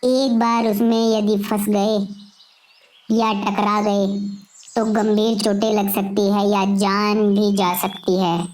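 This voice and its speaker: A woman voicing an aggrieved pigeon in an animated moral story, explaining things in a deliberate way. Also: medium pitch, slight background noise, natural voice. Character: aggrieved injured pigeon complaining about humans.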